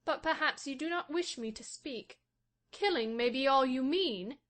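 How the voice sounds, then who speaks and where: A man reading an audiobook voices a proud, defiant young wife, speaking softly and scornfully. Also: extremely high pitch, moderate pace, voice pushed up. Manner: soft, hushed and scornful. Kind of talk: audiobook reading of a classic novel.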